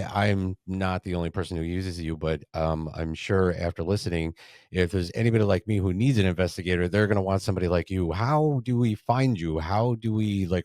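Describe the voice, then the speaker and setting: A man with a very low voice hosts a podcast as an attorney, asking long, rambling questions in a friendly, chatty way. The recording is dry, with no room sound. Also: a little mellow, dry recording. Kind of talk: podcast interview hosting.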